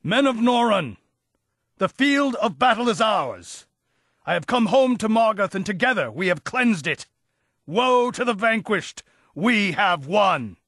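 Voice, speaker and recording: A bold, defiant warlord noble. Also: male voice, sits high, very animated, unhurried, fairly bright tone, dry recording, studio quality, full character voice. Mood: triumphant. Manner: stirring, commanding speech. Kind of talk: video game cutscene acting.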